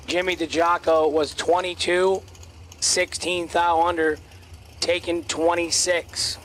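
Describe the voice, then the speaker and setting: A man announcing drag races at an outdoor track in clipped, terse calls, his voice going hoarse. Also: on the high side, a bit bright, slight background noise. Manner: clipped, terse calls of lanes, dial-ins and reaction times. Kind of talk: race commentary.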